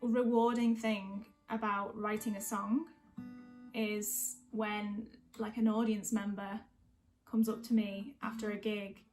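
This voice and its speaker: A woman's voice: medium pitch, flat delivery, takes it slowly, darkish tone, faint music behind, rough recording. Woman singer-songwriter chatting informally. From North West of England. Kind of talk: casual chat between songs.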